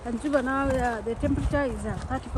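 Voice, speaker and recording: A woman's voice: sits high, fairly even intonation, fast. A woman with a South Sudanese accent, chatting casually as she answers questions in a street interview. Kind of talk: casual chat. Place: outdoors on a winter city street. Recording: noisy outdoor street with traffic.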